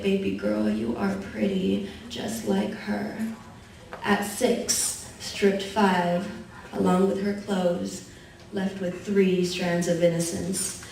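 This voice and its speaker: A young woman recites her spoken word poem in a soft, measured, rhythmic way. She is heard from well back in a big echoing hall, with a faint hum underneath. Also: mid-range voice. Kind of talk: spoken word poetry performance. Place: university event hall. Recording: echoey hall recorded from far off.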